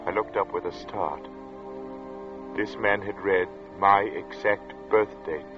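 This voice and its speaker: A man acting as an uneasy, introspective gentleman who narrates an eerie tale in 1940s radio suspense style, measured and literate. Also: low voice, fairly even intonation, over loud music. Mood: shaken, tense. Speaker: narrator and protagonist. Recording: muffled old radio drama recording.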